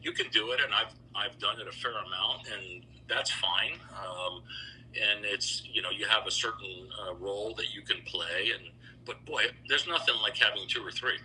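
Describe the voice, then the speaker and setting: A middle-aged man telling stories over a video call, relaxed and rambling with lots of fillers. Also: lowish voice, normal speed, slight background noise, low-quality recording. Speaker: interview guest, founder of a choral institute. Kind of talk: casual storytelling interview.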